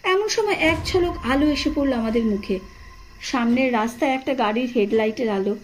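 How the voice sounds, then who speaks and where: A woman narrating a first-person horror story in an expressive, suspenseful, dramatic scripted style. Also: fairly high pitch, normal speed, slight background noise, rough recording. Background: atmospheric sound effects.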